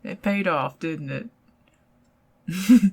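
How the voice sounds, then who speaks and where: A woman with a Southern US accent talks close and soft, drifting in and out of whispers as if on a quiet date. Her voice sits low and husky, with a gravelly fry in it, and she takes her time with every phrase. She sounds middle-aged and unhurried. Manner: intimate, soft-spoken, giggly, partly whispered. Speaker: ASMR roleplay performer. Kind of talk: ASMR roleplay, soft-spoken date.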